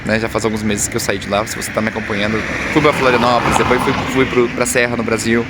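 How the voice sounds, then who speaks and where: A man's voice: on the low side, little pitch movement, fast talker. A man with a Brazilian accent chatting casually to viewers as a travel vlogger, in a hesitant, rambling way. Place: outdoors on a city street. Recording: loud traffic and street noise.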